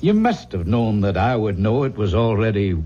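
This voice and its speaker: An actor playing an earnest, paternal family doctor with an authoritative manner in an old-time radio drama. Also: male voice, lowish voice. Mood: firm, paternal. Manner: measured bedside authority, expressive. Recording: dull, noisy vintage radio recording.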